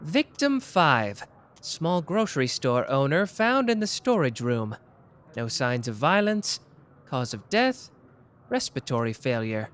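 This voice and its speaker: A man voicing a sharp, playful young detective in an ASMR roleplay, teasing and quick-witted. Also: medium pitch, big pitch swings. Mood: probing. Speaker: voice actor.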